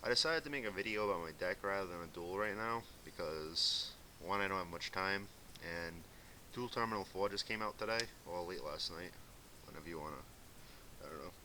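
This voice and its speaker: Young man, light Boston accent, very low voice, rambling casual commentary, faint hiss. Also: a little fast, bright, forceful tone. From Massachusetts. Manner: rambling, filler-heavy, laid-back. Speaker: gaming video commentator. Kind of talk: casual commentary over gameplay. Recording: faint hissy home recording.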